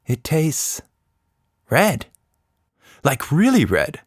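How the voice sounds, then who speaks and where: A man's voice: a little high, huge pitch swings, very slow, breathy edge, no room sound, studio quality, voice pushed up, exaggerated voice. A man voicing an eager, playful young girl in a children's storybook podcast. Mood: wondering.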